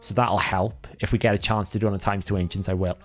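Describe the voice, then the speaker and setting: A man's voice: lowish voice, fairly even intonation, fast, faint music behind. A Welsh man whose first language is Welsh hosts a live game stream, thinking aloud in a chatty way and often correcting himself. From Wales. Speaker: livestream host. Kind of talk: casual gameplay commentary.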